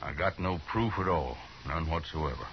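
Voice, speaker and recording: Very deep-voiced, proud greenhorn rancher. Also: male voice, expressive. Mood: bitter, determined. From American. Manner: blunt, brooding, halting. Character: proud, stubborn greenhorn cattle rancher from Alabama. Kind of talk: 1950s radio Western acting. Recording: muffled, hissy 1950s radio recording.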